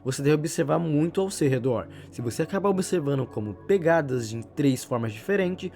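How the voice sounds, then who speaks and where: A Brazilian man with a fairly high, very lively voice narrates horror lore as a video commentator. He explains in a wordy, self-correcting way, with a slightly mellow tone over background music. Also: brisk.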